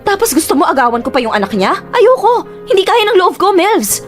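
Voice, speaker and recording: A woman's voice: very high voice, big pitch swings, a little fast, soft background music. An actress playing a weary, conflicted young woman scammer who talks bluntly and defensively. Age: young adult. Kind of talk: radio drama acting.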